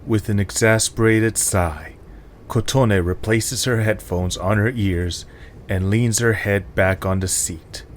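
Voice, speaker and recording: Casting: low-pitched man as solemn story narrator, measured and dramatic, clear background noise.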